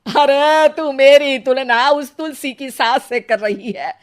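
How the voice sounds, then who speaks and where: A woman voicing a quarrelsome, scornful old mother-in-law in a cartoon, with a scolding, sharp-tongued manner. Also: sits high, slow, pitched below the performer's own voice. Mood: furious, mocking. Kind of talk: exaggerated Hindi cartoon family drama.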